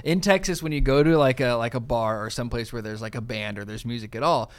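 A podcast co-host chatting casually and in a relaxed way, laughing easily. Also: medium pitch, fast. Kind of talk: casual chat.

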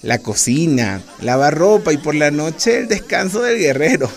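An actor playing a condescending, sexist guerrilla commander in a radio drama, patronising and hesitant. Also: male voice, a little high, lively intonation, a little slow.

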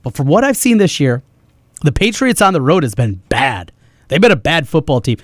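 Higher-voiced male sports radio host. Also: sing-song, normal speed, dry recording, studio quality. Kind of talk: sports talk banter and commentary. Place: radio studio. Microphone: close studio broadcast microphone.

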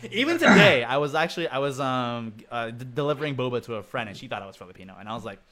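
A man's voice: mid-range voice, big pitch swings, rapid-fire, crowd noise. A young man hosting a casual chat, reading out listener comments and laughing often. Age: young adult. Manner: laughs often, upbeat and chatty. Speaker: host.

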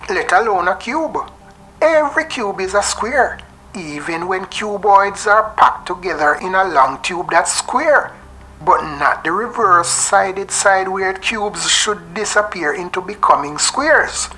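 A woman's voice: on the low side, sharp tone, slight background noise, low-quality recording. A woman reading scripted poetic narration in a theatrical, rhyming storytelling manner.

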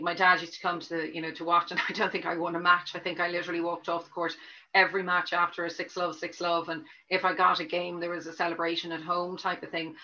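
A woman's voice: on the low side, pushed and bright. A middle-aged Dublin woman, a tennis coach, answering interview questions in a chatty, rambling way full of restarts. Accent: Dublin Irish. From Ireland. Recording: dull lo-fi audio.

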